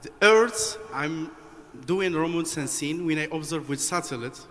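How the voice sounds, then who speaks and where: A man from Morocco, a researcher speaking up from the audience at a conference, halting and earnest, repeating words for emphasis. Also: a little high, fairly bright tone. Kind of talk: impromptu speech from the floor. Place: conference hall. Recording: long hall reverb, faint room noise.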